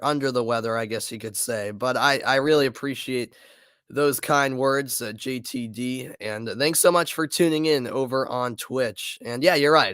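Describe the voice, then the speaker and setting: A man's voice: mid-range voice, a bit bright, no echo, studio quality. Congested man calling a hockey intermission. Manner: casual, chatty, frequent fillers and restarts. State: congested with a sore throat. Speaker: play-by-play commentator. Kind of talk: live stream intermission commentary.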